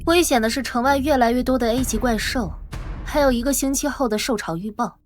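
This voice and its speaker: A woman playing a fearless, assertive young squad leader in an animated apocalypse drama, speaking articulately. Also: on the high side, brisk, soft background music, close to an everyday voice. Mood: firm, defiant. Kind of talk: animated web-drama dialogue.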